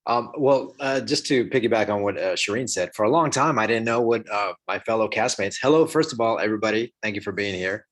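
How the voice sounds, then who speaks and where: A man's voice: on the low side, fairly quick, few pauses, a bit bright. A man speaking as an actor on a panel, telling his experiences in a casual, anecdotal way.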